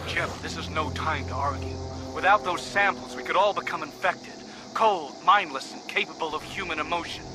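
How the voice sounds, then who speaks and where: An actor playing a grumpy, sardonic starship doctor in a sci-fi game, gruff and wry. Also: male voice, moderate pace, natural voice. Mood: irritated, sardonic. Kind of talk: scripted video game dialogue. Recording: music and engine rumble behind.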